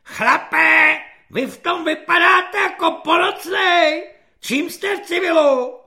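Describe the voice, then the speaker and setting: A man reading aloud voices a loud, boastful army lance corporal who bullies recruits. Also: very high voice, pitched above the performer's own voice, exaggerated voice. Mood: barking. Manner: shouting, boastful, crude.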